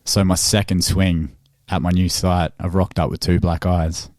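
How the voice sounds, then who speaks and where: A man from Zimbabwe, an amateur boxer, chatting casually in an interview, relaxed and quick to laugh. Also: sits low, no room sound, clean studio sound. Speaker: amateur boxer in charity fight.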